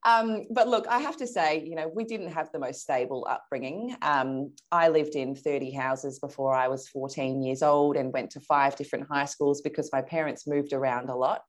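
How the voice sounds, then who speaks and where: A woman's voice: low-pitched, moderate pace, hardly any pauses. An Australian woman in her early thirties tells her personal story as an interview guest, in a candid, self-assured, conversational way. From Perth, Australia.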